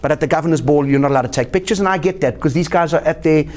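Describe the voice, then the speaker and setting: Fast-talking South African comedian. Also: male voice. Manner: animated anecdotes with lively pitch jumps. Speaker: guest comedian. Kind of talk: casual interview storytelling.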